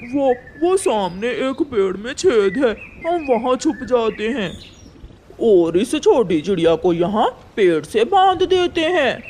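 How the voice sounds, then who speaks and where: Woman putting on a slow-talking sly cartoon crow. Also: fairly high pitch, big pitch swings, warm tone, a little hiss or hum. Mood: scheming, mocking. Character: sly, scheming crow, boastful and greedy. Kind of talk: Hindi cartoon villain dialogue.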